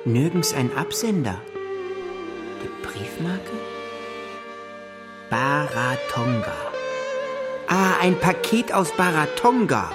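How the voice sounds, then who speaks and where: A woman playing an eccentric solitary gentleman in a children's radio play, musing aloud in short fragments. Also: low voice, very animated, slow, long pauses, loud music behind the voice, heavily acted. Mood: curious. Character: Herr Kuckuck, eccentric solitary gentleman dreaming of seafaring.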